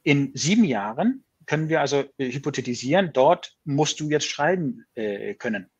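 A man speaking a language that is not his first, slowly building his thoughts with frequent restarts. His voice has a slightly husky edge. He sounds like he is on a webcam call from a room at home. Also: medium pitch, expressive, highs cut off. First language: not German. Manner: hesitant, restarts words, reflective. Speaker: guest. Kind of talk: conversational video call. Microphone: video call webcam.

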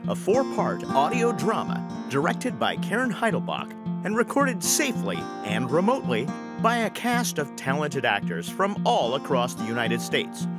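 A man announcing an audio drama in a scripted introduction. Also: on the high side.

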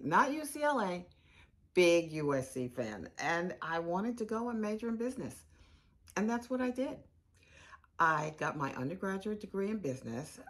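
A candid older woman played by an actress reminisces conversationally. Her husky voice sits a little low and swings widely in pitch, with emphatic repeated phrases. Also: full character voice. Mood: candid, enthusiastic. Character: candid, animated law school dean reminiscing. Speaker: actor in a dramatized narrative. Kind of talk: conversational dramatized monologue. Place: room with bookshelves.

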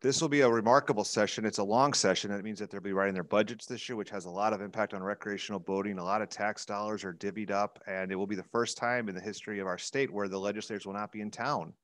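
A man's voice: lowish voice, fairly even intonation, fast talker, hardly any pauses, no echo. A middle-aged American man chatting informally and informatively as a show panelist on a video call. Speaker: legislative advocate and show panelist. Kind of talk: casual informational chat. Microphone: headset on a video call.

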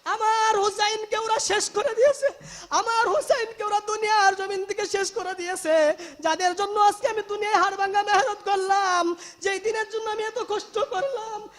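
A man's voice: very high voice, moderate pace. A preacher voicing a grieving holy elder in a wailing, half-sung lament within a sermon, a man. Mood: anguished wailing. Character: grieving holy elder mourning his slain loved one. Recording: long hall reverb on the voice.

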